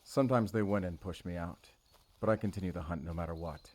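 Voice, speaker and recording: A man playing a brooding, guarded werewolf hunter in an audio roleplay, speaking in a solemn, halting, intimate way to the listener. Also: low-pitched, a little mellow. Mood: weary, resolute. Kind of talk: cinematic audio roleplay acting.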